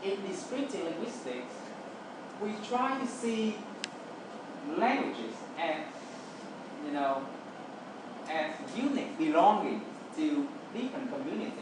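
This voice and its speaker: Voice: mid-range voice, sing-song, takes it slowly, long pauses. A native Vietnamese speaker with a Vietnamese accent, giving an explanatory classroom lecture and checking understanding with short tag words. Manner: checks understanding with "okay" and "right". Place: roomy indoor space, distant mic, noise behind. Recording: echoey room from far off, noisy.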